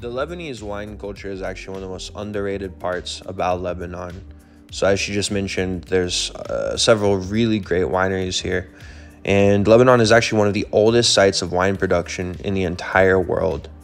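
A man's voice: deep voice, steady tone, normal speed, soft background music. A man hosting a travel vlog, narrating a city walking tour in an informative, easygoing way. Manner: informative, easygoing, with casual fillers.

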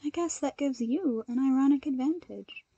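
A woman's voice: high voice, moderate pace, warm tone, dry recording, muffled recording, voice pushed up. Woman voicing a composed, thoughtful heroine. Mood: wry, thoughtful. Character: composed, polite, thoughtful young woman hero, agency head.

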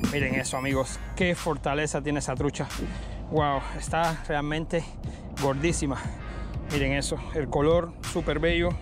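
A man narrating his fishing from a kayak on an open bay, excitedly showing each catch to viewers through a head-mounted camera. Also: fairly high pitch, normal speed, over music. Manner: excited, keeps addressing viewers as friends. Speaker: fishing vlogger. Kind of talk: casual fishing commentary to camera. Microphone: head-mounted action camera.

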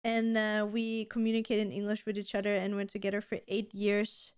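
A woman's voice: medium pitch, brisk, clear, resonant tone. A young woman, a native German speaker with a German accent, co-hosting a casual podcast chat and talking animatedly with lots of "like" fillers. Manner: chatty, animated, frequent "like" fillers. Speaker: podcast co-host. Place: gaming room with colorful LED lights.

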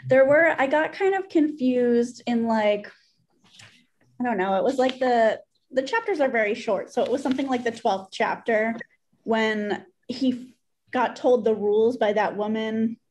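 A woman's voice: a little high, unhurried, darkish tone. A woman in her thirties co-hosting a casual book discussion over a video call, conversational and sprinkling in "like.". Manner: conversational, frequent "like". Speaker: book club co-host. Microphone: video call computer mic.

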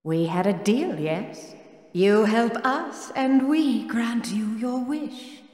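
An actress playing a cold, manipulative evil fairy sorceress in a video game, regal and silkily condescending. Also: female voice, on the high side, a little mellow, echoey room, big-room sound. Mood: cold, imperious. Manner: measured, imperious, silky condescension. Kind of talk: animated video game acting.

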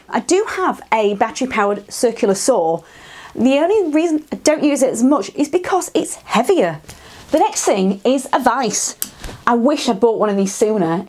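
A woman's voice: fairly high pitch, a little mellow. A woman in her thirties with a British accent, chatting enthusiastically to camera about woodworking tools in a small wooden workshop shed, on a lapel mic. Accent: British English. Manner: chatty, enthusiastic, frank asides. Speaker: woodworking vlogger. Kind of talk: casual tool walkthrough to camera.

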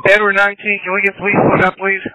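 A very sharp, forceful male voice, high in pitch, comes over police radio. He gives short commanding calls as an officer, with a dry sound. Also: completely flat delivery, rapid-fire, no echo, rough recording. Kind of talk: urgent police radio traffic.

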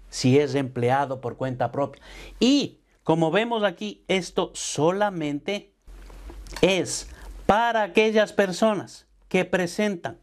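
Middle-aged guy, tax coach talking to camera, slow deliberate delivery, a bit high voiced, lapel mic in his office. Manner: slow, emphatic, addresses viewer directly with formal "usted"; mixes English terms into Spanish. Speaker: tax advisor and business coach. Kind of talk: explainer talk to camera. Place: home studio office with brick wall. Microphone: lapel mic, seated at desk.

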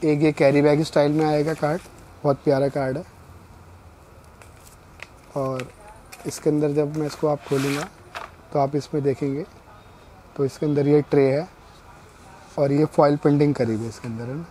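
A middle-aged male shopkeeper talks a customer through his stock in steady, explanatory sales patter. His voice sits a little high but sounds mellow and soft-edged. Faint shop noise hums behind him in a small indoor store. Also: moderate pace, long pauses. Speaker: wedding card shopkeeper. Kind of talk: showing products and prices to a customer.

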